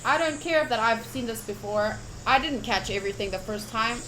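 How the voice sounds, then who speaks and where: A woman streaming a game playthrough, chatting casually and reading the text aloud in an expressive, enthusiastic way. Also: fairly high pitch, moderate pace, a little hiss or hum, poor audio. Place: livestream setup.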